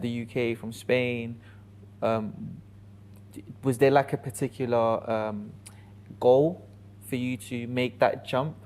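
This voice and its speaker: A man's voice: medium pitch, slight background noise. A man co-hosting a podcast, asking hesitant, filler-laden questions in casual chat. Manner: flat delivery, hesitant questions with many fillers. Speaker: podcast co-host.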